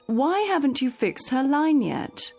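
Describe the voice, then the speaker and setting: A woman's voice: sits high, very animated, a little slow, gravelly, a little mellow, soft background music, full character voice. A woman playing a protective private nurse in a radio drama, firm and solicitous in manner. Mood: firm, indignant.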